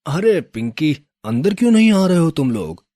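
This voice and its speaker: A man playing a kindly, generous toy shop keeper in a children's story, speaking in a gentle, reassuring way. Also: a little high, very animated, normal speed, dry recording, clean studio sound. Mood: concerned, friendly.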